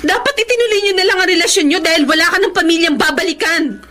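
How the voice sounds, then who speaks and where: A woman's voice: extremely high pitch, quick pace, pushed and bright. A woman playing a hurt but resolute betrayed wife in a Philippine radio drama, emotional and firm. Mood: hurt, unforgiving. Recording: music behind the voice.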